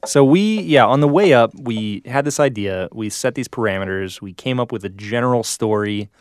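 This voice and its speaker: A man's voice: mid-range voice, animated, moderate pace, clear, resonant tone, clean studio sound. A man co-hosting a podcast with casual banter, often chiming in and echoing his partner. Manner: interjects and echoes his co-host. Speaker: podcast co-host.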